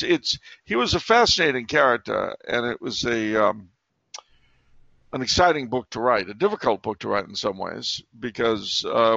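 A man's voice: on the low side, vocal fry, fairly bright tone, dry recording, highs cut off. A man speaking as an author guest in a casual interview, hesitant, with many fillers and restarts.

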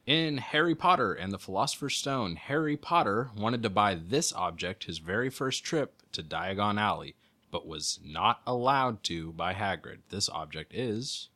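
Lower-pitched man with a sharp, bright voice. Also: lively intonation, normal speed. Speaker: podcast producer and quiz reader. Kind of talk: casual chat, reading quiz questions aloud.

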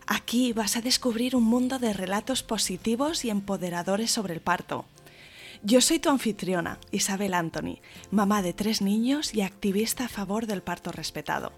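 A woman hosting a podcast, introducing the show and chatting with her guest as interviewer. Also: medium pitch.

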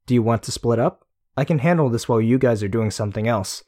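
A man reading a sharp, wry young woman in an audiobook. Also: mid-range voice, fast talker, lightly acted.

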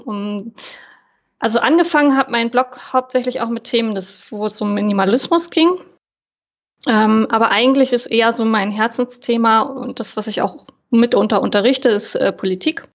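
A young woman from Germany, a podcast guest chatting casually with many hesitations and fillers. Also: fairly high pitch. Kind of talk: casual interview chat. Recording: dull lo-fi sound.

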